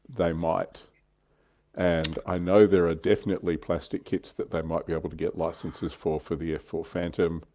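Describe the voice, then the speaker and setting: A man's voice: low voice, dark tone, a little hiss or hum. A man co-hosting a hobby livestream, chatting casually in a thoughtful, slightly hesitant way.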